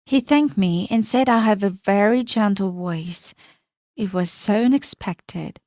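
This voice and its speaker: Woman, story narrator, slow matter-of-fact reading, dry close sound. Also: medium pitch, mellow, no echo. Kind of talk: first-person story narration.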